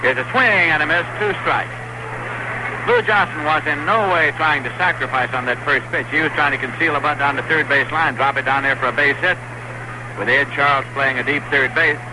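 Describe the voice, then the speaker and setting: 1960s radio baseball announcer, man. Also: fairly high pitch. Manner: measured, steady play-by-play calling. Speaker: play-by-play announcer. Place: stadium broadcast booth. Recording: 1960s AM radio broadcast with stadium crowd noise. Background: crowd murmur.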